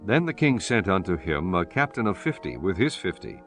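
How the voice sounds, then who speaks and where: Low-pitched, animated male scripture narrator. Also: moderate pace. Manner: solemn, formal. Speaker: audiobook narrator. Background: music.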